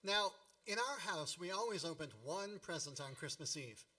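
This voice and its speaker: A man's voice: fairly high pitch, expressive, normal speed, bright, forceful tone, low-quality recording. A man playing a nostalgic grown narrator recalling his boyhood in a radio play, wry and tongue-in-cheek. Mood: wry nostalgia. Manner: storytelling, tongue-in-cheek, ornate wording.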